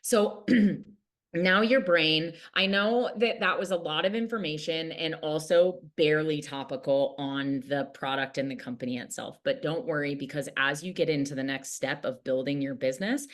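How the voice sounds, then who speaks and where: A woman in her late thirties giving an upbeat, earnest product pitch in a casual way, with fillers like "like" and "right". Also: moderate pace. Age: late 30s. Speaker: product distributor and mentor.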